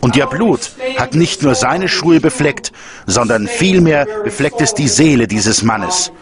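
A man's voice: mid-range voice, lively intonation, unhurried, crowd noise, lightly acted. A man dubbing a grave, emphatic male crime investigator in a documentary, with a standard German accent. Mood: impassioned pleading. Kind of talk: documentary voice-over dub of interviews.

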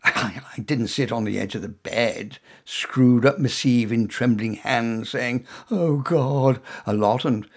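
A man's voice: slow, few pauses, slightly rough. A man from England reading his own first-person short story in a dry, wry, conversational way. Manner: dry, wry, conversational delivery with lively pitch.

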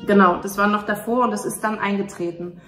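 A woman's voice: mid-range voice, fairly even intonation, brisk, pushed and bright, faint music behind. A woman in her thirties answering an interview in an earnest, reflective, conversational way into a handheld microphone. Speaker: playwright and actress. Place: indoors at a restaurant table by a window. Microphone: handheld microphone held by interviewer.

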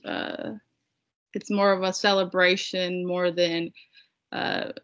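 Young native Spanish-speaking woman, lowish, hesitant. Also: flat delivery, slow pace, a bit bright. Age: young adult. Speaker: seminar guest. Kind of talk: casual conversation. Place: indoor room on a video call. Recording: dull, lo-fi video call audio.

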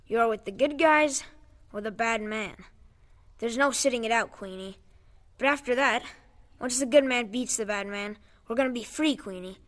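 A woman plays an earnest young scavenger from the tunnels in an audio drama. The voice is fairly high, pleading and sincere. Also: moderate pace, a bit bright, lightly acted. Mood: earnest, persuasive. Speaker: actor.

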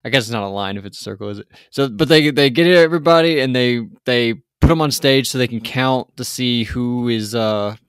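A man's voice: medium pitch, a little fast, hardly any pauses, clear, resonant tone, dry recording, studio quality. A man co-hosting a podcast, chatting casually through an episode recap with lots of hesitant restarts. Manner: rambling, hesitant, many restarts. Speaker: podcast co-host.

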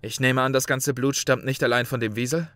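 A man's voice: mid-range voice, steady tone, fairly quick, a bit bright, dry recording, faint music behind, natural voice. An actor playing a sober, pragmatic male survival contestant in a horror audio drama, speaking matter-of-factly. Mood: calm, pragmatic. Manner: matter-of-fact.

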